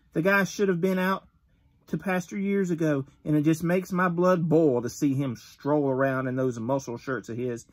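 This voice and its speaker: A man in his thirties reading a wrestling magazine interview aloud at home, gruff and tough-talking, with the odd stumble, recorded on a phone camera at close range. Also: fairly high pitch. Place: bedroom with shelves of collectibles.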